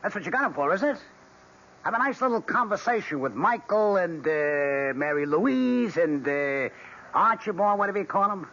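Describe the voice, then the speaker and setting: An actor playing a brash, impatient husband, blunt, scornful and domineering, in an old-time radio drama. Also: male voice, high voice, lively intonation, normal speed, a bit bright. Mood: impatient, mocking. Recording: muffled, hissy vintage radio recording.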